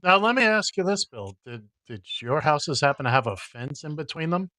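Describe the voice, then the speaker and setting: A man's voice: fairly high pitch, very animated, normal speed, bright, forceful tone. A man co-hosting a casual radio show, trading jokes and teasing his co-host. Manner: teasing, joking.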